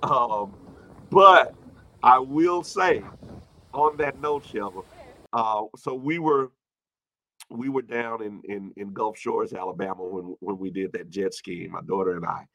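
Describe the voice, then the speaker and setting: A man in his early fifties speaking as a pastor in an informal sermon, repeating phrases for emphasis and moving between loud and hushed delivery. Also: lowish voice, measured pace, creaky, poor audio. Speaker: pastor addressing an online congregation. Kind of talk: informal sermon with personal anecdotes and replies to viewer comments.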